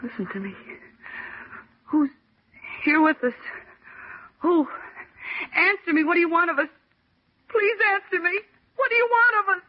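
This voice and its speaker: A woman's voice: very high-pitched, lively intonation, unhurried, pauses often. An actress in an old-time radio drama playing a terrified, trapped woman struggling to stay sane, with a tremulous, pleading, intense delivery. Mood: desperate, panicked. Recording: noisy, tinny old radio recording.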